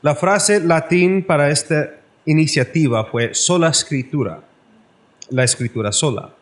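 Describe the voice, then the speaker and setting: A man from Canada giving a formal lecture from his notes, careful and measured, slightly halting. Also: on the high side. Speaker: guest lecturer.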